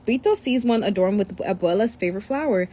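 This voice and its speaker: Lively woman reading a picture book. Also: medium pitch, fairly quick, slight background noise. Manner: reads with lively asides and commentary. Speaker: storybook reader. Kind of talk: children's picture book read-aloud.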